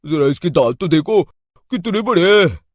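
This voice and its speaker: A man playing a frightened village man in a cartoon story, with an exaggerated, excitable comic delivery. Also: a little high, moderate pace, no echo. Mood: nervous pleading. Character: frightened cartoon village men and cowardly thieves.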